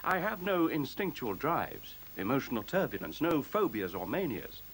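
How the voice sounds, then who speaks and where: An actor playing a self-assured, courteous computer in a radio play, speaking in articulate, formal, precisely lecturing phrases. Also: male voice, medium pitch, big pitch swings, normal speed, natural voice. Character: self-assured, courteous sentient computer, male-voiced, coolly superior. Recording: faint tape hiss.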